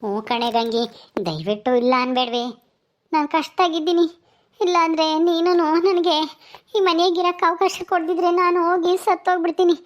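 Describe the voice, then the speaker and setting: A woman's voice: very high-pitched, a little fast, dull audio, voice pushed up. A woman voicing a stubborn, plaintive old village grandmother in an acted rustic story, insistent and emotional. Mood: pleading.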